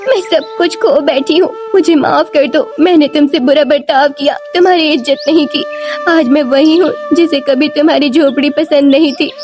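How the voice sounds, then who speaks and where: Woman acting as proud city-educated elder sister, young, high voice, melodramatic, cartoon morality tale. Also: normal speed, music in the background, lightly acted. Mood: remorseful, despairing. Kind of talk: Hindi cartoon moral story dialogue.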